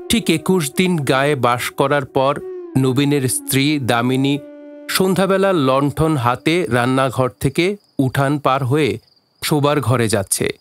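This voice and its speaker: A man's voice: medium pitch, natural voice. A man narrating a literary village mystery as the storyteller of an audio drama.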